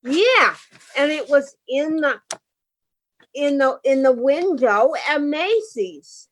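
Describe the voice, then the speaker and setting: A woman's voice: sits high, expressive, takes it slowly. A woman playing an anxious, naive wife in a radio play, speaking hesitantly. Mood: chatty, uneasy. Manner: hesitant, fretful, wide-eyed.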